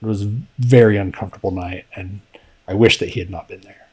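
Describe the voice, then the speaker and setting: A man with a fairly low voice co-hosts a casual podcast. He tells his stories slowly and haltingly, leaning on fillers like um and you know. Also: steady tone, darkish tone, husky. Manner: slow, halting storytelling with many fillers. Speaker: podcast co-host. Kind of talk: casual chat.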